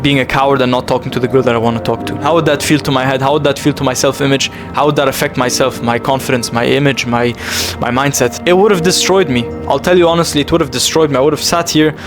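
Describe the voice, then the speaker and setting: A man's voice: mid-range voice, fairly even intonation, quick pace, over music. A young man speaking earnestly to camera from his bedroom, intense and repeating phrases for emphasis, calling the listener bro. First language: English. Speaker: self-improvement vlogger. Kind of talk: emotional confessional monologue to camera.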